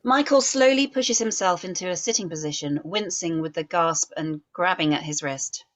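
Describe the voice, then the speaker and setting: A woman reads stage directions aloud for a drama table read. Her voice is a little low, and her scripted narration is measured and descriptive.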